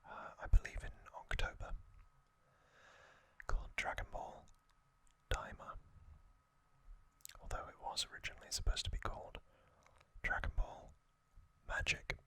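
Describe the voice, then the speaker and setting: A man whispers unhurriedly and almost entirely without voice, right up against a sensitive microphone. His breathy whisper sounds very crisp and bright, and he fills the pauses with soft "uh"s and "um"s as he shares fan trivia. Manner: soft unvoiced whisper with frequent "uh" and "um" fillers. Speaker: ASMR channel host. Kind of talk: whispered ASMR trivia talk.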